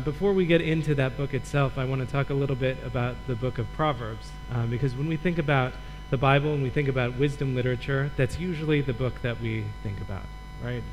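A man from Michigan, a guest preacher and Old Testament professor, teaching a sermon in a relaxed, lightly joking way. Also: mid-range voice, rough recording.

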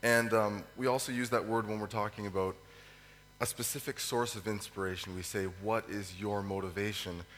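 A man preaches through a cold, his voice hoarse and fading. He talks in a fairly low register, halting with ums and pausing to clear his throat. The sermon rings through a reverberant hall over a faint hum. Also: fairly even intonation, fairly bright tone. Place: church hall. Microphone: microphone.

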